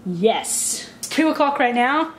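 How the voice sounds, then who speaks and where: A woman's voice: high-pitched, lively intonation, slow pace. A young woman chatting informally to a camera in her kitchen, with dry asides. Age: young adult. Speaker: vlogger. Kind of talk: casual vlog chat. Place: home kitchen, indoors. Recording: echoey room, some background noise.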